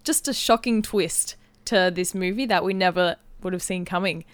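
A woman's voice: on the low side, normal speed, clean tone, no room sound. A woman co-hosting a podcast, explaining things in a casual, chatty, giggly way. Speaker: podcast co-host. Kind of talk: casual chat, explaining a game. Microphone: close podcast microphone.

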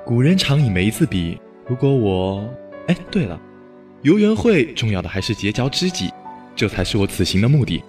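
An actor playing a courteous, scholarly young gentleman in a Chinese period audio drama, courtly and formal. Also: mid-range voice, sing-song, moderate pace, close to an everyday voice. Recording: music behind voice.

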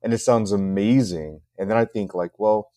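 A middle-aged American man hosting a casual podcast interview, talking in a halting way with repeated words. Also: lowish voice, warm tone, no room sound. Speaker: podcast host.

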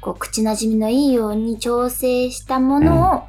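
A woman's voice: on the high side, moderate pace, darkish tone, soft background music. A woman co-hosting a radio show, chatting casually in polite speech. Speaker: radio show co-host, stage actress.

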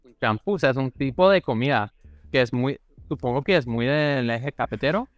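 Smooth-voiced American man, casual interview guest. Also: mid-range voice, animated, normal speed, dry recording, soft background music. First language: English. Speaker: interview guest, resident physician. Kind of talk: casual, friendly interview chat.

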